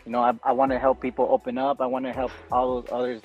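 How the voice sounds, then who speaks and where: A man's voice: medium pitch, completely flat delivery, quick pace, dull audio, soft background music. A man from Guatemala, a podcast guest talking earnestly and openly in casual conversation. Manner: earnest, open about personal struggles.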